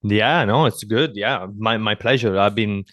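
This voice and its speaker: A man with an Italian accent hosts a casual podcast conversation. His voice sits fairly low, his phrasing is halting with repeated words, and the recording is dry with no room sound. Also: fairly bright tone, dry recording. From southern Italy. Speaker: podcast host.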